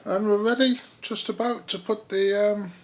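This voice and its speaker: A man giving a casual DIY how-to vlog, speaking haltingly as he thinks aloud. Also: high voice, fairly even intonation. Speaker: hobbyist presenter.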